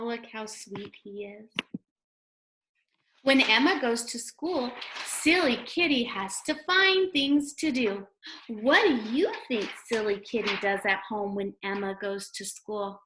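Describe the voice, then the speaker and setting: A woman's voice: on the high side, fairly bright tone, slight background noise, low-quality recording. A woman teacher giving a read-aloud lesson to young children, speaking simply and asking them questions, recorded at home. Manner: simple, child-directed talk with questions and sounded-out letters.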